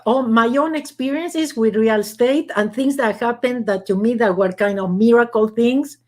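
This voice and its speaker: A woman from Argentina speaks English with a Spanish accent, her voice sitting a little high. She tells her stories in a loose, chatty way, full of fillers and easy laughs. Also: normal speed. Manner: warm storytelling with frequent laughs and fillers. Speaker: guest author. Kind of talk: casual interview chat.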